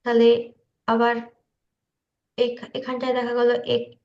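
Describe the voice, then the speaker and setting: A woman's voice: a little high, completely flat delivery, a little slow. A woman giving an informal screen-share tutorial, hesitant and checking that her listeners follow. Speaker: tutor demonstrating video animation software. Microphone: online meeting call. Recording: dull lo-fi online call audio.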